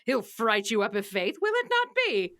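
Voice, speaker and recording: A woman playing a bawdy, doting nurse in a classical Shakespeare play, loud and theatrical, with many exclamations. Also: a little high, wildly swooping pitch, normal speed, background noise. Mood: teasing, coaxing. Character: bawdy, doting female nurse and servant, turning to wailing. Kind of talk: classical Shakespearean stage acting.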